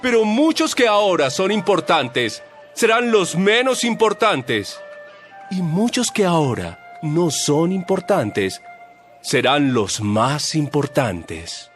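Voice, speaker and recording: A man playing a calm, authoritative male teacher, speaking in a measured, solemn way in a dramatized audio Bible. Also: on the high side, heavily acted. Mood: earnest teaching. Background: soft music.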